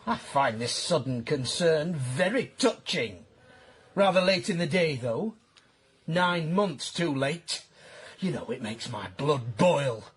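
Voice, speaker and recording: An actor playing a hard-hearted, moralising workhouse doctor with a scornful, haughty manner in a radio drama. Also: male voice, a little high, animated, slow pace, slightly rough, heavily acted. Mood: sarcastic.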